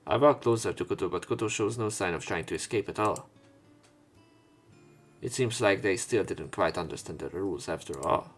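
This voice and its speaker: Guy reading visual novel lines out loud for a lets play, casual asides, bit of game music under him. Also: sits low, moderate pace. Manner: informal, swears in asides. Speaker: let's player reading a visual novel aloud. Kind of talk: narrated reading with offhand commentary.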